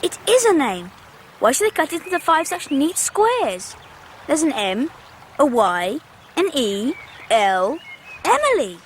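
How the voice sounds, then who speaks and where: A woman's voice: high voice, very animated, unhurried, long pauses, slight background noise. A woman voicing a polite, earnest English Victorian boy in a radio drama. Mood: curious. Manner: well-spoken, earnest. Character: polite, curious Victorian boy, elder son. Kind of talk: 1990s radio drama acting.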